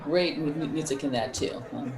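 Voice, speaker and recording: A woman chatting casually in a group as a podcast panelist, in a halting, conversational way. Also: sits low, a crowd in the background. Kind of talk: casual group chat about holiday movies. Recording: echoey room, background voices.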